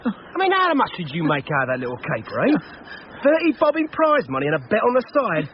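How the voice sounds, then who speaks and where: A menacing crime boss in a radio drama, with a slightly high, swooping voice. He bullies with pointed questions and slangy talk. The recording is muffled and noisy. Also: wildly swooping pitch, fast. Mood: scornful. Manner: bullying, rhetorical questions, colloquial. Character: menacing, controlled London criminal boss fixing horse races.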